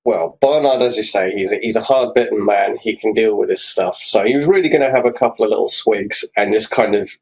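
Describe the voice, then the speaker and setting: A man's voice: lowish voice, a little fast, few pauses, a little mellow, breathy edge, low-quality recording. A man playing a tabletop game, chatting about his character in a wry, wordy, polite way. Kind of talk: casual game discussion.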